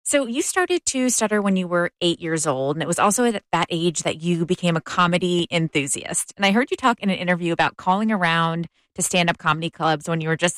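A woman's voice: lowish voice, a bit bright, no echo. American woman radio host, close dry sound. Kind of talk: public radio interview.

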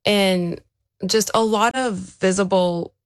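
A woman's voice: mid-range voice, slow pace, no room sound, clean studio sound. A woman who is an athlete, giving a reflective personal account as an interview guest. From born in Ukraine, raised there to age seven, then America.

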